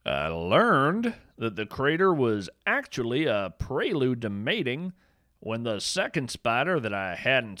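A man tells a strange nature story in a scripted, playful way, with a wry edge to it. His pitch moves freely and with plenty of life. The recording is dry and close, with no room sound. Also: sharp tone, no room sound. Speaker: podcast narrator. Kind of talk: scripted whimsical nature narration.

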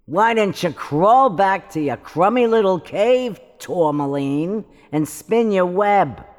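Protective fairy, lightly high voice, expressive, scornful, fairytale radio play, hall reverb. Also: male voice, takes it slowly. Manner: firm, taunting. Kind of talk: fairytale audio drama acting.

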